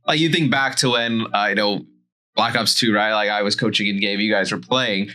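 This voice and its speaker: The forceful, bright-voiced man at a gaming round-table, an ex-player turned coach swapping notes with the other coaches. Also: lowish voice, steady tone, a little fast. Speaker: esports coach and former pro player. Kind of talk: casual round-table discussion.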